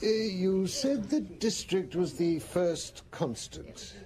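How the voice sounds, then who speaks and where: A reflective professor of psychiatry. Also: male voice, high-pitched, big pitch swings, slow pace, dark tone, a little hiss or hum, heavily acted. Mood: curious. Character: thoughtful male psychiatrist professor, middle-aged. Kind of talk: radio drama acting.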